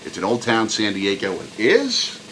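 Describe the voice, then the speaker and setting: A middle-aged man co-hosting a food travel vlog in an upbeat, semi-scripted way, leaning on key words with drawn-out emphasis. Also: fairly low pitch, huge pitch swings. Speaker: travel food show host, father co-hosting with his child. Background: background music.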